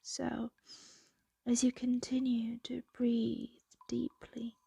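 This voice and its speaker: A woman voicing a possessive, doting female dragon in an ASMR roleplay, soothing and teasing in a breathy, intimate whisper. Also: fairly high pitch, completely flat delivery, slow pace, mellow, dry recording, studio quality, full character voice.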